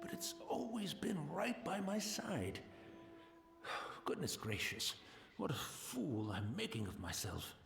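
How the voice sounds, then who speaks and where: An actor playing a gentle, courteous blind old man who keeps a tea house, rambling in an old-fashioned way in a game cutscene. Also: on the high side, very animated, slow, gravelly, roomy sound, faint music behind, heavily acted. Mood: wistful. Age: older. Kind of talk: video game cutscene voice acting.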